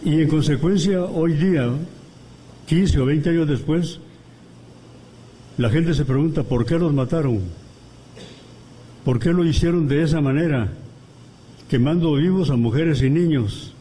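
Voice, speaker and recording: Man, academic lecture, measured, pausing, warm mellow voice, faint hiss behind. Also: fairly high pitch, low-quality recording. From Guatemala. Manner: measured and deliberate, pausing between phrases. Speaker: guest commentator at a book presentation. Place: university auditorium.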